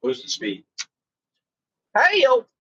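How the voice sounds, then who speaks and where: Muffled lo-fi voice chat; male, raspy, unhurried, crude and jokey in casual talk. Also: very high voice, huge pitch swings, pushed and bright. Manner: crude, joking. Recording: dull lo-fi voice chat.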